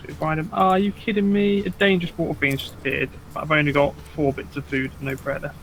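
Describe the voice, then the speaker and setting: A man's voice: a little high, little pitch movement, fast, fairly bright tone, a little hiss or hum. A man playing alongside a friend, teasing and joking casually while he games. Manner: teasing, jokey. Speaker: co-player friend. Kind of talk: casual gaming chat.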